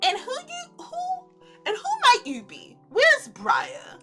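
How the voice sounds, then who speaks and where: A woman's voice: very high voice, wildly swooping pitch, takes it slowly, over loud music, pitched above the performer's own voice, full character voice. A woman voicing a haughty, annoyed male winter spirit from a visual novel, stiff and formal, with a British accent. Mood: indignant. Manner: stiff, imperious, questioning.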